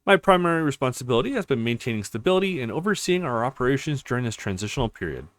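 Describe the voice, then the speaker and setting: A man voicing a formal, composed interim leader of a crime council in a visual novel, speaking in a measured way. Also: mid-range voice, big pitch swings, fairly bright tone, no room sound, clean studio sound. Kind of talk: reading visual novel dialogue.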